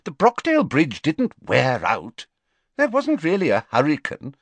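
A fretful wizard minister, put on by a male audiobook narrator bustles through his news in a raised, brisk, bluffing voice. His lines swoop up and down as he waves worries away. The sound is close and dry. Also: on the high side, huge pitch swings, dry recording, voice pushed up, exaggerated voice. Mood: grave. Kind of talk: audiobook character voice.

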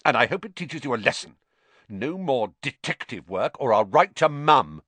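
Man acting a pompous, scolding teenage boy, animated. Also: medium pitch, moderate pace, no room sound, voice pushed up. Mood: stern. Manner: officious, indignant, terse. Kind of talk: audiobook character acting.

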